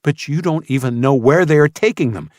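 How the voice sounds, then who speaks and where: Man voicing a worried mother, raised pitch. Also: expressive, a little fast, dry recording, clean studio sound, natural voice. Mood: alarmed. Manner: gentle, questioning, pitched up when upset. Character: worried, gentle but firm mother in post-apocalyptic audiobook.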